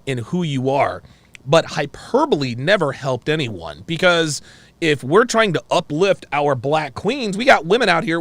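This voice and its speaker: A man's voice: on the high side, vocal fry, fairly bright tone, dry recording. Emphatic American man hosting, dry close sound. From United States. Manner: emphatic, repeats phrases. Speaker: talk show host. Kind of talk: opinionated live call-in discussion.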